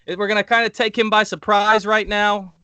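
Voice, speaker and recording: A man's voice: high-pitched, clean tone, dry recording. A young man co-hosting a casual podcast, a little sick and nasal, laughing often as he talks. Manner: laughs often, talks fast when excited. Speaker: podcast co-host. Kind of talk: casual chat.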